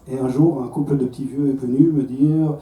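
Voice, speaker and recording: Belgian stand-up man, warm roomy stage sound. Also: mid-range voice, steady tone, a little fast. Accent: Belgian French. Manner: conversational, self-mocking, with stammered restarts. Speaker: stand-up comedian. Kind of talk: stand-up comedy set. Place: comedy club stage. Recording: roomy club sound from a distance, faint crowd.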